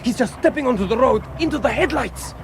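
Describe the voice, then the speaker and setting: A man's voice: extremely high pitch, quick pace, creaky, a little hiss or hum. An actor in a radio drama playing a jumpy, whining male accomplice on the run, nervy and protesting. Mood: agitated.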